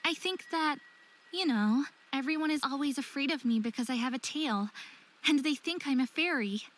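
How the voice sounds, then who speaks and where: High, bright, earnest young hybrid woman, acted by a woman. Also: moderate pace, slight background noise. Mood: wounded, wary. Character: young faerie-descended hybrid woman, apologetic, wary, insecure. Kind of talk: visual novel game voice acting.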